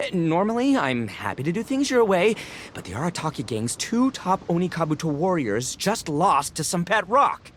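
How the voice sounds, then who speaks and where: A man's voice: high-pitched, slight background noise. A man playing a brash, boastful young gang boss in a video game, with a bombastic, dramatic delivery.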